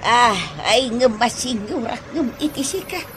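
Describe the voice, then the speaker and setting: A woman's voice: fairly high pitch, measured pace, lightly acted. A woman with an Ilocano accent playing an apologetic, protesting passerby in a radio drama. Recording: clear street noise behind.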